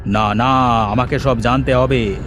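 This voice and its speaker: A man's voice: medium pitch, fairly even intonation, slow, noisy background, close to an everyday voice. A man voicing a greedy, envious village headman who mutters and gloats to himself in a children's fairy tale cartoon. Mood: envious, suspicious.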